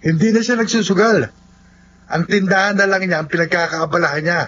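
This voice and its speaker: A man's voice: high voice, brisk, fairly bright tone. A man with a Filipino accent acting an earnest, loyal young best friend in a radio drama. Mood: cheerful, friendly. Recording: dull lo-fi radio, faint music behind.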